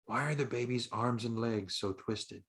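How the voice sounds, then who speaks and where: A man's voice: medium pitch, normal speed, fairly bright tone, lightly acted. A man voicing an irritable young beggar girl in a storybook, with a blunt, questioning manner. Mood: quiet, curious.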